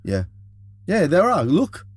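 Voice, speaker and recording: A man's voice: a little high, wildly swooping pitch, slow, no echo. A man co-hosting a podcast, chatting in a laid-back, blokey way with loose phrasing and swearing. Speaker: podcast co-host. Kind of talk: casual banter, swearing. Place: vintage caravan turned studio.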